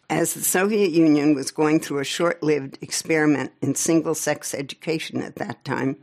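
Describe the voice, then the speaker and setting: An older woman whose first language was Norwegian, reading from her own memoir in a measured way, with small slips and spoken asides. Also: low-pitched, darkish tone. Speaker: author.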